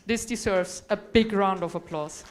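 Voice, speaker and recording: A woman's voice: mid-range voice, moderate pace, background noise. A woman from Europe moderating a conference panel, giving formal, courteous introductions of the speakers. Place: conference hall.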